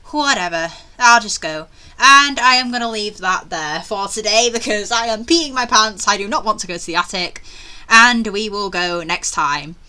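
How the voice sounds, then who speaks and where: A young woman hosting a let's play, reading a visual novel aloud with expressive, jittery, casual reactions. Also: mid-range voice, moderate pace.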